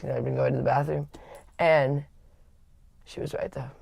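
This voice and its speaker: A woman with a raspy voice, hosting a livestream and telling a personal story in a candid, rambling way with frequent restarts. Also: rumbling low, flat delivery, moderate pace, a little mellow, no echo. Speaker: livestream host.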